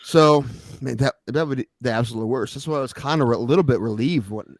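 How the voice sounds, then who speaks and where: A man's voice: mid-range voice, fast, no room sound, clean studio sound. A man co-hosting a podcast in casual chat, rambling and swearing, a little sniffly. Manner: profane, rambling, worried. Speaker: podcast co-host.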